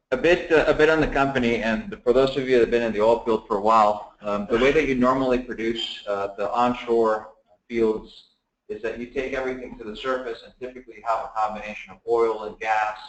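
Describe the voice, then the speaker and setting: A man from the Dominican Republic giving a relaxed technical talk at a seminar, with many fillers and restarts. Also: medium pitch, muffled recording. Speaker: guest speaker. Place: seminar room, echoey. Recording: echoey room.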